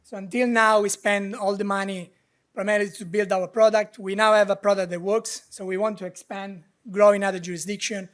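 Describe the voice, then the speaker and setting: A man giving a founder's pitch to investors, slightly halting with fillers and small grammar slips. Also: flat delivery, a bit bright.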